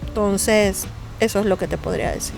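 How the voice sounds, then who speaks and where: A woman's voice: mid-range voice, fairly even intonation, a little fast, gravelly, darkish tone, soft background music. Colombian woman with a Mexican tinge. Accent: Colombian Caribbean with Mexican touches. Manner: earnest, hesitant with frequent fillers. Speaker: interview guest. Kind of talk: casual interview conversation.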